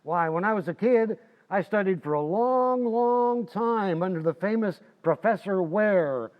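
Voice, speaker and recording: A man's voice: high-pitched, lively intonation, unhurried, lo-fi sound. A man acting a boastful, folksy husband in an old-time radio comedy. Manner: folksy, slangy, bragging. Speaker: amateur actor in a community playhouse radio recreation.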